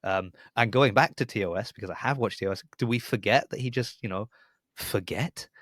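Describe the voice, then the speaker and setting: A man's voice: fairly low pitch, a little fast, a bit bright, dry recording, studio quality. A man hosting a podcast in casual fan discussion, jokey and given to voicing imagined remarks. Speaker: podcast host.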